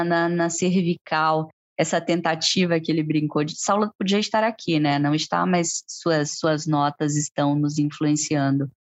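A woman's voice: on the low side, no room sound, lo-fi sound. A woman with a Brazilian Portuguese accent co-hosting a podcast, explaining points at length and often turning questions to her co-host. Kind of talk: conversational podcast talk.

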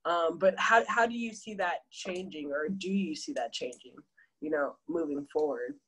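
An interviewer speaking thoughtfully and reflectively over a video call, pausing often with "um" while framing questions. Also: medium pitch, fairly bright tone, slightly rough, low-quality recording. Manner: thoughtful, reflective, with frequent "um" pauses. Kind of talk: casual conversational interview.

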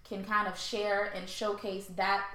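Bright-voiced American woman hosting in echoey room. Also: mid-range voice, level tone, a little slow. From born in Haiti, raised in St. Louis, Missouri, USA. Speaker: podcast host. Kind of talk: conversational interview. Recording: roomy, echoey sound.

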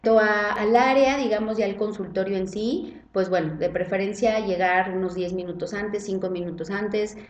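A woman gynecologist talks her listeners through clinic routines in a friendly, explanatory way. Her voice sits at a middle pitch, and the recording has a roomy echo around it. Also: steady tone, moderate pace, few pauses. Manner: friendly explanatory, tag questions. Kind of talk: casual podcast conversation. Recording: roomy sound.

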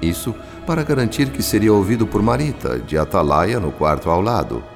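A man's voice: lowish voice, lively intonation, a little fast, gravelly. A man with a Brazilian accent playing a solemn spirit who narrates a radio drama in a theatrical, literary manner. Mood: solemn, dramatic. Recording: long reverb over soft music. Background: soft music.

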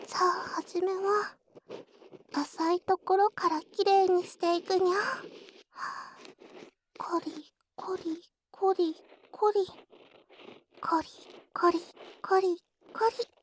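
A voice actress playing a sweet, devoted young cat-eared maid, cutesy and coaxing, in an ASMR roleplay. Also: female voice, very high voice, a little hiss or hum, low-quality recording. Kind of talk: Japanese ASMR roleplay, partly whispered.

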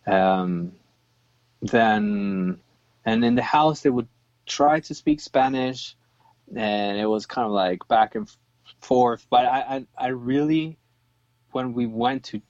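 A man's voice: medium pitch, takes it slowly, darkish tone, no room sound, dull audio. A man from Chile whose first language was Swiss German, talking in a casual interview with many ums and restarts.

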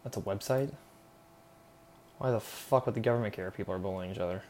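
A man's voice: fairly low pitch, fast talker, dark tone. A young man hosting a solo podcast, rambling casually and irreverently with lots of slang, sarcasm and swearing. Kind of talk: casual unscripted rambling monologue.

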